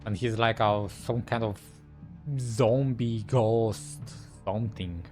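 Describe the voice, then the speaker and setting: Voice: medium pitch, fairly even intonation, takes it slowly, darkish tone. A gamer giving casual, offhand commentary while playing a story game. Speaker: gaming streamer reacting. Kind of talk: casual commentary over gameplay. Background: faint music.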